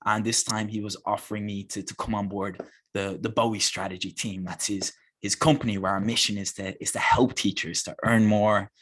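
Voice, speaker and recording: Guy on a webinar call telling his story, lowish voice, keen and earnest, repeats himself a lot. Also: fairly even intonation, brisk, a bit bright. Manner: earnest, enthusiastic, repeats words when restarting. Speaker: guest speaker.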